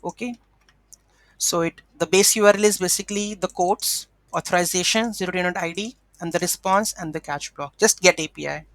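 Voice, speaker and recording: A woman's voice: lowish voice, fairly quick, no echo. A woman hosting an informal coding tutorial, talking through each step aloud as she works. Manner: instructional, thinking aloud step by step. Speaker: tutorial host.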